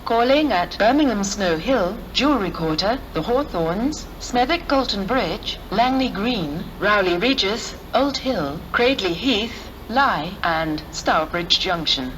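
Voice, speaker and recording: Woman, automated platform announcer, slow measured read, train and wind noise behind. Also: mid-range voice, animated, a bit bright, poor audio. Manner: measured, evenly spaced delivery. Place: railway station platform.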